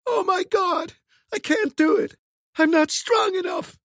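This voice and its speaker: A man voicing a desperate young woman, crying out in a high, shrill falsetto. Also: measured pace, vocal fry, fairly bright tone, no echo, clean studio sound, heavily acted. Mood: frantic.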